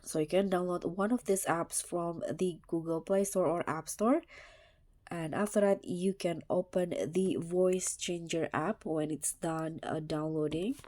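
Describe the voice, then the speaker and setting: A woman narrating a casual step-by-step tech tutorial, with a somewhat halting, hesitant way of phrasing. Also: lowish voice, fairly even intonation, darkish tone, slight background noise. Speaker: tutorial host.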